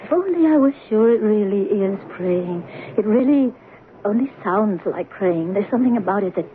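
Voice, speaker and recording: A woman's voice: mid-range voice, expressive, normal speed, close to an everyday voice. An actress playing a worried middle-aged mother who speaks hesitantly and trails off, in 1970s radio drama style. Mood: anxious. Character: worried mother of a teenage daughter. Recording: tinny, hissy old radio broadcast.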